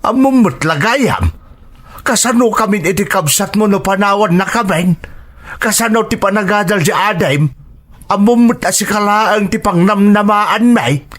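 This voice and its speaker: A man's voice: sits high, moderate pace, a bit bright. A man playing a demanding, resentful middle-aged father with an Ilocano Filipino accent, scolding and questioning insistently in a radio drama. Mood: scolding, indignant.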